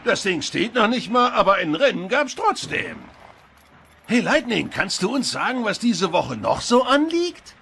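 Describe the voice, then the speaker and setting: A man voicing a goofy, excitable, good-natured country bumpkin tow truck in an animated film dub, naive and folksy. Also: high-pitched, a little slow, creaky, heavily acted. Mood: excited announcing. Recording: faint background noise.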